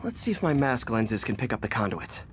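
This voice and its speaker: An actor playing a young wisecracking superhero in a video game, with an American accent and a casual, quippy delivery. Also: male voice, mid-range voice, very animated, fairly quick, slight background noise, close to an everyday voice. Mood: upbeat, focused. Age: young adult. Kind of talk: video game voice acting, natural dialogue.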